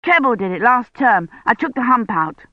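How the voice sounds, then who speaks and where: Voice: mid-range voice, very animated, moderate pace, dry recording, narrow, tinny audio, pitched above the performer's own voice, close to an everyday voice. A narrator voicing an eager, stubborn young boy who pleads and argues.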